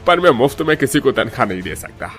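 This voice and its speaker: A man's voice: mid-range voice, very animated, fast talker, a bit bright. A man playing everyday working men in a Hindi cartoon story, earnest and complaining. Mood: firm, dismissive. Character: poor worried father and unyielding laundry boss.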